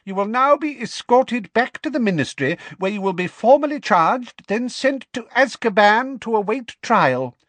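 Pompous, excitable government minister, pitched up. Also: male voice, takes it slowly, no room sound, heavily acted. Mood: smugly triumphant. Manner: blustering, spluttering, emphatic. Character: pompous, excitable middle-aged male government minister, gleeful and blustering.

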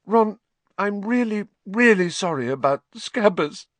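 Guy doing a shrill teen schoolgirl, high and worked up, storybook reading. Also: big pitch swings, normal speed, fairly bright tone, no room sound, full character voice. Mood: shrill, upset. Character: upset bookish schoolgirl, shrill and fierce.

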